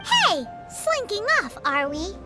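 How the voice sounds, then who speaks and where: A woman voicing a small, childlike fairy companion in a video game, cheeky and blunt, with a squeaky voice. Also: wildly swooping pitch, slow, full character voice. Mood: teasing. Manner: speaks of self in third person, sassy. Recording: music behind the voice.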